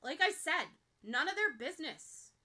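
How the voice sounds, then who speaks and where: A woman voicing a gruff, hot-tempered teenage boy in an audiobook, curt and dismissive. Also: sits high, sing-song, a little slow, sharp tone, voice pushed up, full character voice. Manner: curt, grouchy, defiant.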